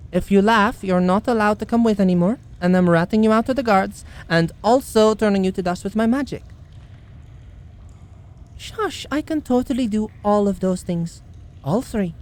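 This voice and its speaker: A woman's voice: mid-range voice, darkish tone, lightly acted. A woman playing a kind, wry bounty hunter mage in a fantasy ASMR audio roleplay. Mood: playfully stern.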